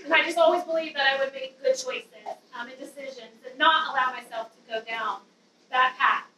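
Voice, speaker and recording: A woman in her thirties nervously sharing a personal testimony to a youth group, with a loud voice and many ums. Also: sits high, a little fast, slight background noise. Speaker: guest speaker giving a testimony. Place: dim church youth room with an audience. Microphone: handheld mic, far camera. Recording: roomy hall sound from a distance.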